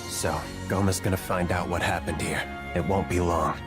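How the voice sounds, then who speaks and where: A man's voice: low voice, fairly even intonation, normal speed, over music, close to an everyday voice. A man playing a grave, cautious travelling companion in a game, terse and serious. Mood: wary.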